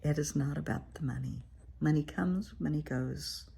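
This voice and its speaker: A woman therapist with a cold, her voice croaky and raspy, giving frank and encouraging advice straight to the viewer from her living room. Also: low-pitched, normal speed, dark tone. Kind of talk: casual direct-to-camera advice talk.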